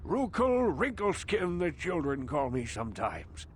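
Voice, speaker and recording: A man's voice: on the high side, sing-song, measured pace, slight background noise. A man voicing a hundred-year-old village outcast in a video game, a mystical, wary elder who speaks in formal, portentous questions. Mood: musing, sorrowful. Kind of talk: theatrical video game voice acting.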